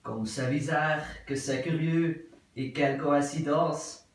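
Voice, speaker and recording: A man playing a prim, politely puzzled middle-aged English wife in an absurd stage play, with formal, measured, repetitive speech. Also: on the high side, fairly even intonation. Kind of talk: theatre acting of an absurd play. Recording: very echoey room, voice from far off.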